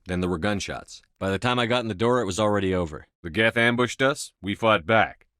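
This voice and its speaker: A man acts out a stern space commander for a video game. The voice is deep and recorded very dry, with clipped, controlled lines that carry authority and a dry, defiant edge. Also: fairly quick, fairly bright tone, smooth, dry recording, natural voice. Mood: matter-of-fact.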